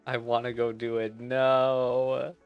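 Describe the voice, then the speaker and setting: A man's voice: mid-range voice, flat delivery, takes it slowly, clear, resonant tone, phone-quality sound. A man giving casual, chatty playthrough commentary with playful, slangy reactions. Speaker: game streamer. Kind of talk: casual playthrough commentary with read-aloud dialogue. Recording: game music behind the voice. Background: game music.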